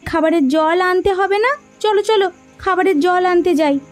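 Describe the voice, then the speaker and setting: Cartoon wife played by an actress: anxious, pushy, dramatic, very high register with light music underneath. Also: female voice, steady tone, moderate pace. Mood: anxious, nagging. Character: village wife, nagging, insistent, anxious. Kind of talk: Bengali cartoon folk-tale voice acting.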